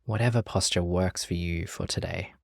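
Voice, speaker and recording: Low slow voice guiding a meditation, gentle and calm, really dry close sound. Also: gravelly, dry recording. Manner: gentle, calm, measured with long pauses. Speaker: meditation teacher. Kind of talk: guided meditation.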